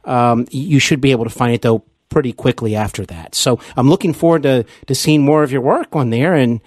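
A man's voice: medium pitch, fairly quick, a little mellow, no room sound. Casting: male interviewer, mid-range voice, supportive and chatty, recorded dry and close. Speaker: podcast interview host. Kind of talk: conversational interview.

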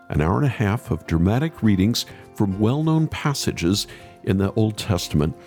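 A man hosting a podcast, speaking deliberately and emphatically in interview and presenter style. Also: fairly low pitch, creaky, a little mellow. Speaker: podcast host. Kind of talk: interview and scripted sign-off.

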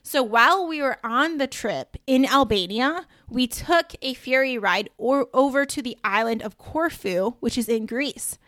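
A woman's voice: high-pitched, unhurried, hardly any pauses, a bit bright, dry recording, studio quality. A woman hosting her podcast alone, chatting casually with lots of asides and slang. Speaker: podcast host. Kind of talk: casual solo podcast talk.

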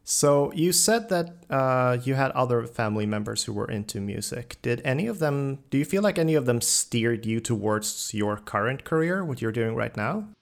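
A man's voice: medium pitch, animated, moderate pace, vocal fry, hardly any pauses. A man hosting a podcast, asking questions in a casual, conversational interview style.

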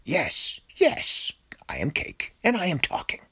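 A man's voice: on the high side, huge pitch swings, measured pace, pushed and bright, husky, dry recording, pitched above the performer's own voice, heavily acted. A man voicing a sneaky, defiant lizard trickster who pretends to be a talking cake in a children's story. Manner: defiant, cheeky.

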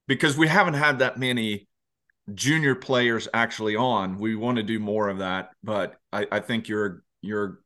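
A man hosting a podcast interview in a chatty way over a video call, often correcting himself and filling pauses with fillers. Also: on the low side, fairly even intonation, pushed and bright. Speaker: podcast host.

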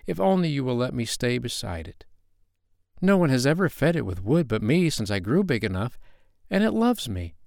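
A man's voice: fairly high pitch, creaky, a little mellow, dry recording, studio quality, pitched above the performer's own voice. A man voicing a small, earnest, pleading boy with a trembling voice in a children's bedtime story.

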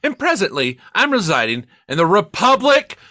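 A man's voice: high voice, big pitch swings, normal speed, bright, forceful tone, no echo, studio quality, full character voice. A man voicing a florid spam-email writer with a mock-formal, overly earnest manner for a comedy podcast. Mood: earnest, pleading.

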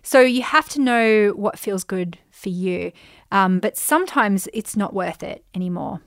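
Australian woman, croaky, chatty, lively, dry booth sound. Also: mid-range voice, a little slow, dry recording. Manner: chatty, animated, frequent "um" and "like". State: voice getting croaky. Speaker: podcast host, business coach. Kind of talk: casual solo podcast, answering listener questions.

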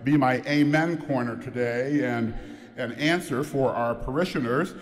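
A man's voice: medium pitch, unhurried. A man serving as head of school gives an informal sermon to a church congregation, chatty and joking with people by name. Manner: warm, chatty, jokes with the audience by name. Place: large church sanctuary. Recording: long reverb of a church hall.

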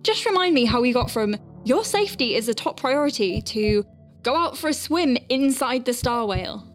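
A woman's voice: a little high, animated, normal speed, soft background music, lightly acted. An actress playing an anxious, wry woman medic piloting a tiny craft in a sci-fi audio drama, hesitant under pressure. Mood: scared, wry.

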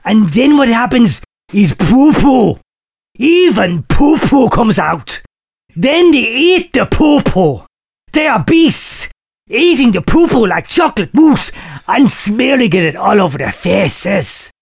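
Man with a lively, swooping voice. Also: very high voice, gravelly, husky. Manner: drawn-out, preacherly delivery breaking into laughter. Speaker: podcast host reading listener letters. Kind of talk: mock-solemn comic letter reading.

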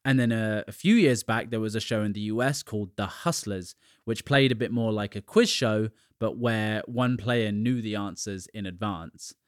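A man's voice: lowish voice, moderate pace, hardly any pauses, no room sound, studio quality. A man from the UK hosting a podcast, talking casually with chatty asides and little jokes. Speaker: podcast host. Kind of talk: casual solo podcast monologue.

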